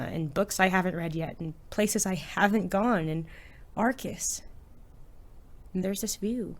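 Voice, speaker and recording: A woman's voice: mid-range voice, natural voice. A young woman playing a guarded, wry teenage student who works as a superhero in an audio drama. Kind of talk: hobby audio drama acting.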